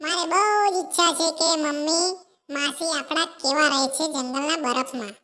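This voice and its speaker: Extremely high-pitched pleading sparrow chick. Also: moderate pace, pushed and bright. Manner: pleading, insistent, repeats itself. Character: eager, pleading young sparrow chick, caring child. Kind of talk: animated children's story dialogue.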